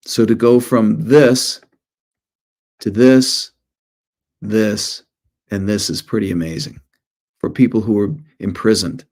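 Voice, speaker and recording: A man's voice: lowish voice, fairly even intonation, slow pace, long pauses, a little mellow. A middle-aged man, an author, presenting informally to schoolchildren in short storytelling phrases with conversational asides.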